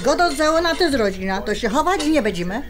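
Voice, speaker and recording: Older woman, Polish highlander accent, high-pitched, scolding, pious, radio drama. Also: big pitch swings, fast. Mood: scolding, bossy. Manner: scolding, blunt. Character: sharp-tongued, pious, bickering highlander mother-in-law.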